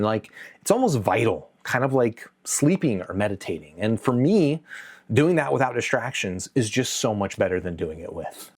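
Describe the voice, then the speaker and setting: Male review host, mid-pitched, casual and conversational, opinionated with a light joking touch. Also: a little mellow. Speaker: host of an audio gear review channel. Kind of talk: casual scripted video review to camera.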